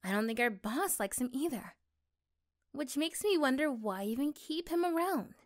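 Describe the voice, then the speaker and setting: A woman playing an affectionate, reassuring young girlfriend in a soft, gentle ASMR roleplay. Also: sits high, expressive, normal speed. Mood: musing, confiding. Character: affectionate, reassuring young girlfriend chatting intimately to her partner.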